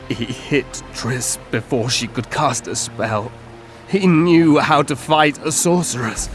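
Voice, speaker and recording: An actor playing a dying, melancholy male elf seer in a fantasy game, speaking wearily and haltingly. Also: mid-range voice, lightly acted. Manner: weary, halting, solemn storytelling. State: mortally wounded, fading. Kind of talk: dramatic fantasy video game acting.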